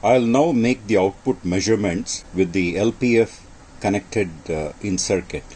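An older man giving an informal technical demonstration as a radio hobbyist, speaking in a measured, matter-of-fact way. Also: on the low side, darkish tone. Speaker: amateur radio hobbyist presenting. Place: indoor home radio workshop. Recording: clearly noisy home workshop recording.

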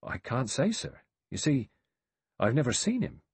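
Man acting a flustered, husky, deferential male butler. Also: mid-range voice, wildly swooping pitch, dry recording, pitched above the performer's own voice, heavily acted. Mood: earnest, deferential. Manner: earnest, defensive, servile, halting. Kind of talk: audiobook character voice.

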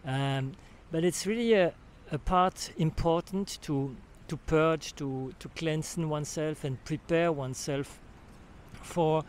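Slow, hesitant older man with a French accent. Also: on the high side, slight background noise. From Switzerland. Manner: hesitant, searching for words, many restarts. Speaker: retreat participant giving a testimonial. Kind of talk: spoken testimonial. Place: outdoors at a sandy beach camp. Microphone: handheld recorder held near the mouth.

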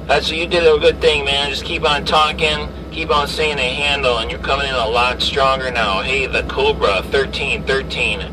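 A man works the CB radio from inside a vehicle, calling out and repeating handles. His voice is mid-pitched and picked up from a short distance. Clear vehicle noise runs behind him. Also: fairly even intonation, normal speed, hardly any pauses. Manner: repeats callsigns and phrases. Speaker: CB radio operator. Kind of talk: CB radio chat. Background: vehicle and ventilation noise.

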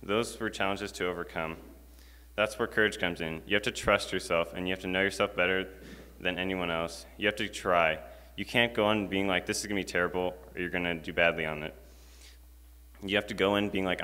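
A man's voice: sits low, bright, forceful tone. A teenage boy giving a prepared chapel talk at a stand mic, earnest and reading from notes with casual fillers. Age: teens. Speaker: student speaker. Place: school chapel, large indoor space. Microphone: stand mic at a lectern. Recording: long hall reverb.

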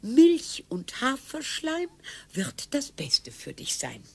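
Sardonic old wife in a radio play, husky, swoopy intonation, dry n weary. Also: female voice, high voice, huge pitch swings, slow, heavily acted. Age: older.

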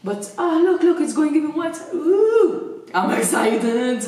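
A young woman vlogger testing a face mask at home, excitable and laughing as she chats. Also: high-pitched, a little slow. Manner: excitable, laughing, says "it's true" often. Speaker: beauty vlogger. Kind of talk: casual product-testing chat. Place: home kitchen, indoors. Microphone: far camera. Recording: echoey room, from a distance.

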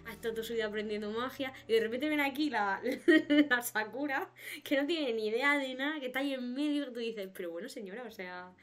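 Young woman with a cold, chatty, high-ish voice, laughs a lot, on a video call. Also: a little fast, soft background music. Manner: chatty, laughs often, trails off into mumbles. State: has a cold. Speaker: podcast co-host. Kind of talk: casual chat. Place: home room, indoors. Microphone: webcam on a video call.

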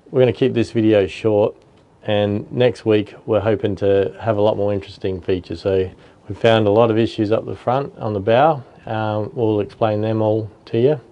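A man in his thirties, a vlog host, giving a relaxed, plain-spoken update to a camera outdoors at a marina. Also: fairly low pitch, dull audio. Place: outdoors under a boat shelter by a marina.

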